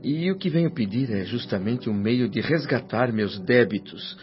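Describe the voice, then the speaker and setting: An actor playing a humble, earnest deceased doctor in a radio drama, speaking formally and deferentially. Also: male voice, mid-range voice, lively intonation, moderate pace, lightly acted. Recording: dull lo-fi radio drama over music.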